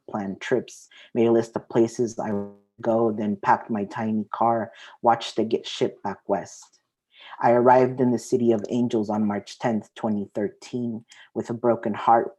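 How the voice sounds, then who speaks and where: A woman's voice: rumbling low, mellow, slightly rough, low-quality recording. A woman, sharing her poetry at an open mic. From Mexico, raised partly in the US Southwest. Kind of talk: spoken-word poetry reading with casual introduction.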